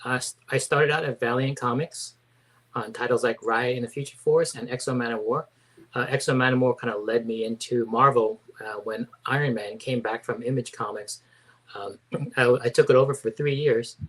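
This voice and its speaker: Guest artist, man in his 50s, mid-pitched, hesitant, halting answers. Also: steady tone, a bit bright, low-quality recording. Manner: hesitant, many fillers and restarts. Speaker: comic book artist guest. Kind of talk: casual interview.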